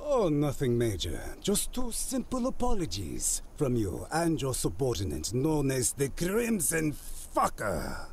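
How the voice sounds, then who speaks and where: Male actor, scornful cartoon priest, formal, theatrical, very animated. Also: medium pitch, measured pace, hardly any pauses, a little hiss or hum. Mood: formal, sly. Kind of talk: anime parody dub.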